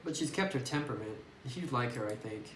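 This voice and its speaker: A man's voice: mid-range voice, animated, quick pace, fairly bright tone, lightly acted. A man voicing a lonely, earnest doll repairer in a visual novel, subdued and careful, recorded on a phone microphone. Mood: confiding. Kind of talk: read-aloud visual novel dialogue. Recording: roomy, noisy distant phone microphone.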